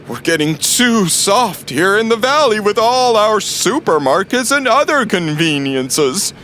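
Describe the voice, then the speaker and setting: A man's voice: sits high, very animated, a little slow, pushed and bright, a little hiss or hum, heavily acted. A man playing an exuberant, boastful father bear who declaims grandly and emphatically in a children's audio play. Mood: dreamy, enthusiastic.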